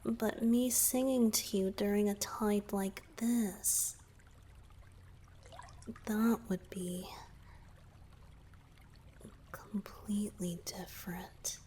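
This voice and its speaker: A woman's voice: medium pitch, steady tone, drawn-out words, long pauses, warm tone. A woman playing a shy, flustered young merman boyfriend in a romantic ASMR roleplay, speaking hesitantly and earnestly to the listener. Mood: explaining, earnest. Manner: hesitant, flustered, with sighs and murmured asides. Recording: background noise with trickling water.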